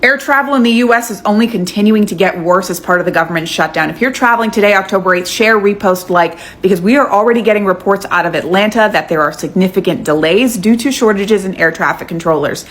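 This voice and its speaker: A woman delivering an informative social media news update in a brisk reporting manner. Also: medium pitch, fairly bright tone.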